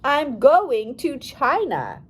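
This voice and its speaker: A determined young missionary woman, voiced by a female audiobook reader, speaks in a fairly high, lively voice. She is firm and commanding, ready to turn indignant and emphatic when crossed. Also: very slow, exaggerated voice. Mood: indignant, exasperated. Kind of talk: audiobook character dialogue.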